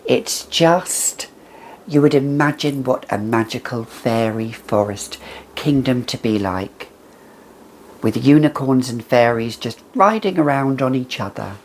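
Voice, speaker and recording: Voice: mid-range voice, animated, measured pace, darkish tone, slight background noise. A perfume reviewer chatting casually and emphatically to camera, with a whimsical, conversational manner.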